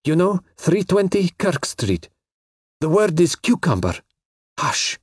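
A man putting on a soft foreign-accented woman in danger. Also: fairly high pitch, big pitch swings, takes it slowly, breathy edge, dry recording, studio quality, pitched above the performer's own voice, heavily acted. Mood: urgent pleading. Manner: urgent, pleading.

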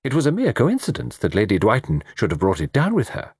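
A man voicing a fussy, excitable elderly British gentleman in a storybook, savouring his words theatrically. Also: medium pitch, fast, breathy edge, no echo, clean studio sound, voice pushed up, heavily acted. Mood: self-satisfied.

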